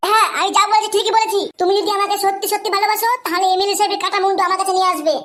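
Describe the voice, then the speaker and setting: Super high squeaky flirty cartoon lady, teasing and bossy, talks quick. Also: fairly even intonation, pushed and bright. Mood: demanding. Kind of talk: comic cartoon dub.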